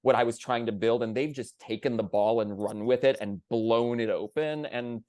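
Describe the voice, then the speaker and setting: A man's voice: medium pitch, moderate pace, darkish tone, no room sound. A man talks in a casual panel discussion with a mid-range voice and a dry, close sound. He is enthusiastic and tends to wander into side thoughts. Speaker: theatre panelist.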